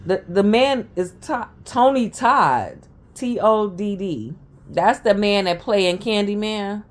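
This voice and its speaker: A hoarse woman in her forties telling a rambling, casual story to camera, with informal grammar and many side notes. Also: mid-range voice, lively intonation, slow. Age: 40s. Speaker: vlogger.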